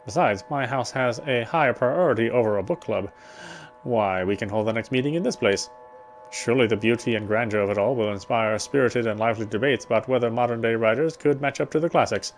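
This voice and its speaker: A man's voice: medium pitch, fairly quick. A man reading first-person visual novel narration aloud as he plays the game. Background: background music.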